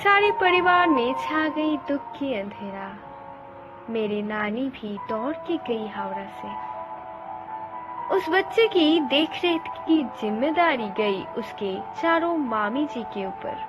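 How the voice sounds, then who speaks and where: Woman telling a ghost story, high voice, kinda slow and dramatic, music behind. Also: lively intonation.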